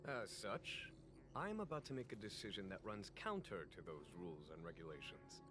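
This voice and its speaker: Composed male general, shrewd and gracious, measured low-leaning delivery, game score behind. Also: animated. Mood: calm, wry. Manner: measured, courtly, formal. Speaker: video game voice actor. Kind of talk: scripted game dialogue, English dub. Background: background game music.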